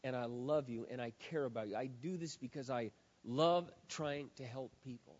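A man preaching a sermon as pastor, hoarse, swinging from quiet earnest talk to loud impassioned shouting. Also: medium pitch, moderate pace. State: hoarse, says he has lost his voice. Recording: dull sound with long hall reverb.

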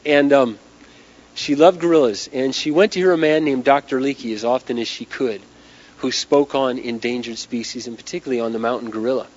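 A man's voice: medium pitch, fairly quick. A man who is losing his voice, telling a story dramatically and conversationally as an inspirational speaker. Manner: dramatic, conversational, acting out dialogue. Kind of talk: storytelling talk to a live audience. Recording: dull lo-fi talk recording.

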